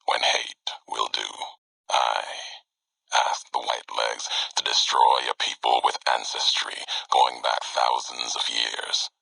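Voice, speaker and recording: A grave, battle-worn wandering courier for a video game. His voice is gravel-thick and husky, with a forceful edge. He speaks in measured, cryptic fragments, brooding and philosophical throughout. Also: very deep voice, dry recording, heavily acted, poor audio. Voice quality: gravelly, breathy rasp. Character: solemn, weathered wandering former Legion courier, brooding and philosophical. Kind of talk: video game character monologue.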